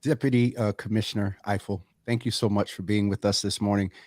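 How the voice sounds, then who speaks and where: A man's voice: fairly low pitch, fairly even intonation, brisk, darkish tone, dry recording, studio quality. A man hosting a live talk show, speaking in a familiar, casual way with many fillers, reading out viewer comments.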